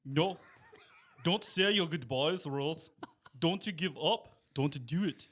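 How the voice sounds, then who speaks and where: A man's voice: a little high, takes it slowly, fairly bright tone. A man voicing an earnest, dying young romantic hero in a table read, shifting between improvised comic accents and voices. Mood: pleading.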